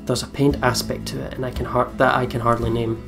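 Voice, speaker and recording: A man hosts a let's play and reads the story narration aloud. His voice sits at a medium pitch, with guitar music clearly behind it. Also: little pitch movement, fast talker. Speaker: let's play host reading the game's narration. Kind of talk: scripted narration read aloud. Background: game music with guitar.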